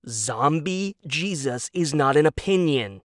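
A man plays a young wife at the end of her rope in an audio drama. The voice is pitched up high, biting and scornful, with hard emphasis and incredulity in a close, dry recording. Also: expressive, slow pace, no echo. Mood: exasperated. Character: exasperated, furious young wife, sharp and scornful.

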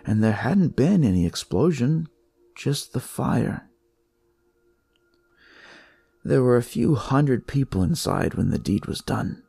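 A man playing a jaded, crude police detective, narrating his noir story in a wry, world-weary, conversational way with a gravelly, husky voice. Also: medium pitch, sing-song, a little slow, warm tone, dry recording, full character voice. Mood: wry, grim. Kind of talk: noir first-person scripted narration.

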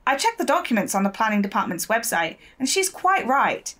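A woman's voice: on the high side, very animated, fairly quick, fairly bright tone. A woman acting as a diligent young local newspaper reporter in a naturalistic audio drama, polite and inquisitive. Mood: earnest, keen.

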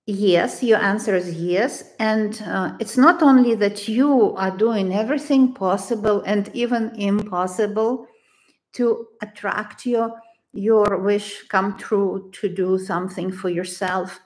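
A woman in her sixties with a Ukrainian accent, giving a tarot reading in a halting, deliberate, gentle way. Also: medium pitch. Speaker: tarot reader. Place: living room, indoors.

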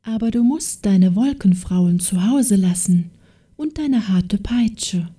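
Gentle loving sun lady, read by a woman, soft, tender, storybook. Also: mid-range voice, lively intonation, slow pace, slightly rough, far from the mic. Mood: longing.

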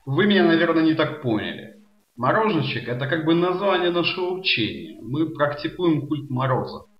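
A man's voice: fairly high pitch, big pitch swings, fairly quick, dull audio. Man playing a solemn, preachy cultist, roomy sound. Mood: earnest. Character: earnest, sanctimonious male cult follower of frost. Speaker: radio guest. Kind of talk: comedy radio sketch acting.

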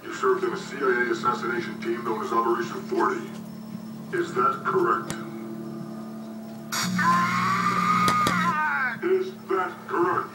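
Man as a harsh interrogator, demanding, game audio from a TV across a room, loud music behind. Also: mid-range voice, lively intonation, fairly quick, close to an everyday voice. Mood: cold. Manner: demanding, threatening. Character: harsh male interrogator, cold and menacing. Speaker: interrogator in a war video game. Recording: game audio from a TV speaker, roomy and distant, over loud music.

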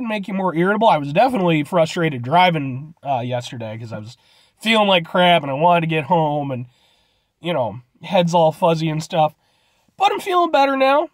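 A man in his thirties talks in a high, swooping voice, slightly congested from a cold. He rambles loosely through a casual food review, gesturing as he goes. The sound is close and dry, from a phone held at arm's length inside a parked car. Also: dry recording. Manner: rambling, animated, gestures a lot. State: stuffed up from a cold. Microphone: phone camera at arm's length.

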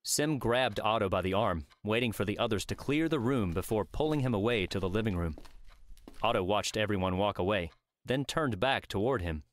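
A man's voice: on the low side, lively intonation, moderate pace, dry recording. A man reading scripted story narration as an audiobook narrator.

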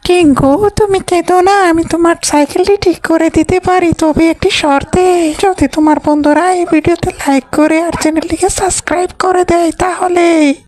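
Very shrill cartoon witch. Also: steady tone, a little mellow. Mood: coaxing. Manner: drawn-out, theatrical delivery. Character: greedy, menacing witch, mother who wails for her child.

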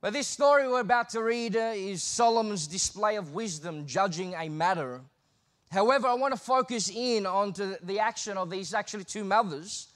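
A man's voice: high-pitched, moderate pace, a bit bright. A man in his thirties with an Australian accent, a guest preacher giving a sermon from a church pulpit. He moves from easy, conversational talk into emphatic preaching. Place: church stage behind a pulpit. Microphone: pulpit microphone.

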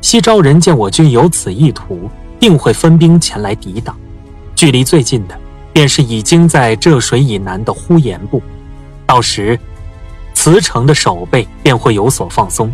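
A man playing a calm, gentle-spoken military strategist in a period audio drama, with measured delivery. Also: mid-range voice, slightly rough, soft background music. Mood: calm, assured.

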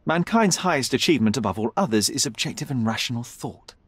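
A man's voice: medium pitch, expressive, fast, husky, no room sound. A man playing a young, brilliant detective in a video game, cool, rational and precise, with a slightly haughty manner. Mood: assertive, dismissive. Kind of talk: video game cutscene acting.

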